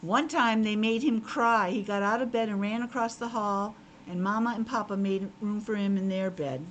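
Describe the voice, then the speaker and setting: A woman's voice: mid-range voice, steady tone, moderate pace, muffled recording. A woman teacher reading a picture book aloud to young children, breaking off for simple conversational asides. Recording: clearly noisy background.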